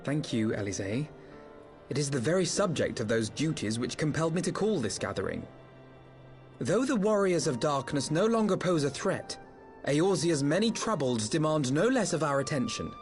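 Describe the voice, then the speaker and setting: A dutiful young noble leader in a fantasy game. His voice sits fairly high and moves expressively, with formal, measured, earnest phrasing. Soft music plays behind him. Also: big pitch swings. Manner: formal, measured, eloquent diction. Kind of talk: video game voice acting.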